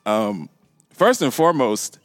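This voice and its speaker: A man's voice: mid-range voice, sing-song, slow, dry recording, soft background music. A man hosting a casual talk radio show, often repeating and restarting phrases for emphasis.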